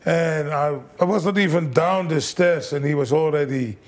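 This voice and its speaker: A man, a fight trainer, telling animated stories in broken English as an interview guest. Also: fairly high pitch, normal speed. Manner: animated storyteller, broken English, acts out quotes. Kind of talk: casual storytelling interview.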